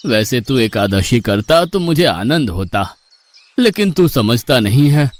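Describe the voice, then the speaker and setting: A man voicing a slow, strict old guru. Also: mid-range voice, sing-song. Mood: admonishing. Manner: slow, admonishing, fatherly. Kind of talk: Hindi cartoon story dubbing.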